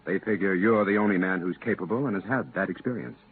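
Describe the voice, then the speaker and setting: An actor playing a polite, persuasive visiting captain on official business, coaxing and a little hesitant, in 1950s radio drama style. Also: male voice, sits low, fast, natural voice. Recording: tinny, band-limited, hissy 1950s radio recording.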